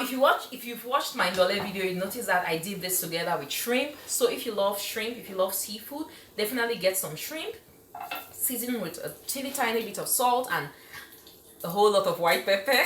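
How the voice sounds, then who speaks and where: A woman's voice: lowish voice, a bit bright, roomy sound, slight background noise. A woman from Cameroon hosting a live cooking stream in her home kitchen, chatty and enthusiastic, laughing often as she reads out viewer comments. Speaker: cooking show host.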